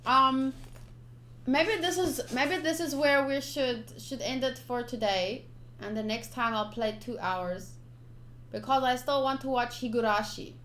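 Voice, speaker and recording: Higher-voiced woman streaming a game. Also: measured pace, a bit bright, a little hiss or hum. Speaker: streamer playing and reading a visual novel. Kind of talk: live let's-play, reading text aloud and reacting.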